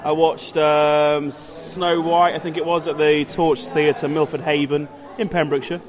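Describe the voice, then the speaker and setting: A man's voice: a little high, little pitch movement, a little slow, crowd noise. A man from Wales, co-hosting a podcast with casual, jokey theatre banter. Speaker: podcast co-host, actor.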